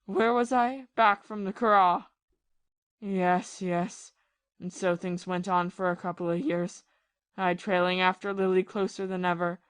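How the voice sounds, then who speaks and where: A woman playing a bitter, lovesick gentleman captain. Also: lowish voice, fairly even intonation, clear, resonant tone, dry recording, close to an everyday voice. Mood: unsettled. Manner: confessional, impassioned, halting with repeated phrases. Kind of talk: audio play acting of a period drama.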